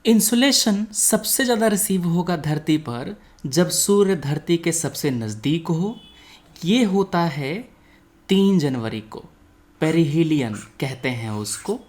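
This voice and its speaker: A man's voice: fairly high pitch, animated, takes it slowly, darkish tone. A man teaching an exam coaching lesson, explaining didactically, mixing in English terms and often checking that learners follow. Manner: didactic, frequently checks understanding; mixes Hindi with English technical terms. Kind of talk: explanatory lecture with quiz questions.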